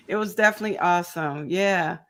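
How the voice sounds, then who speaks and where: A woman's voice: on the low side, moderate pace, fairly bright tone. A woman in her fifties hosting a casual livestream, chatty and greeting viewers by name with frequent laughs. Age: 50s. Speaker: crochet channel host.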